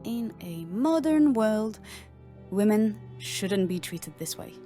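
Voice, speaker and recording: A woman's voice: medium pitch, expressive, slow, mellow. A woman playing a headstrong, impassioned lady occultist in an audio drama. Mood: indignant pleading. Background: music.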